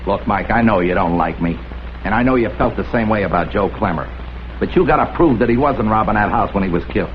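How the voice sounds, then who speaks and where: A man's voice: on the low side, animated, brisk, a little hiss or hum, close to an everyday voice. Man acting a wheedling American crook, muffled vintage sound. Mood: pleading, aggrieved. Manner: slangy, cajoling. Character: wheedling small-time male crook pleading for a friend. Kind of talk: 1950s American TV crime drama acting.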